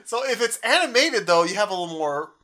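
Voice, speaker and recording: A man's voice: high voice, sing-song, quick pace, pushed and bright. A man co-hosting a podcast in casual chat, giving his opinions with enthusiasm. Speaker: podcast co-host. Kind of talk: casual chat about game movies.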